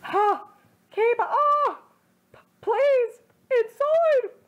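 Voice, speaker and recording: A woman's voice: very high voice, expressive, extremely slow, highs cut off, pitched above the performer's own voice, exaggerated voice. A woman putting on a breathless, pleading young man.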